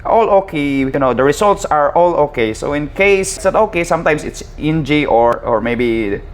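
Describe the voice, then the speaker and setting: A man with a Filipino accent giving an informal hands-on equipment tutorial, speaking haltingly and repeating himself as he addresses viewers casually. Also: on the high side, animated. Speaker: seaman presenting a tutorial. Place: ship's bridge. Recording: clear ambient background noise.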